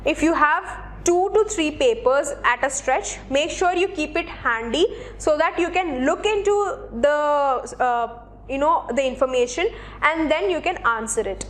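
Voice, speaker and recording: A woman's voice: sits high, expressive, unhurried, fairly bright tone. A woman presenting instructional advice in a didactic way, speaking directly to the listener in a semi-scripted style. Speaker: presenter of interview tips for job seekers.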